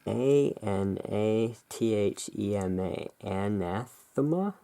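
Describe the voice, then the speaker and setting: A soft-spoken ASMR host with a low-pitched, creaky voice. The delivery is very slow and hushed, drifting between gentle musing and measured reading, as part of a relaxing roleplay. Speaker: host, playing curiosity emporium owner.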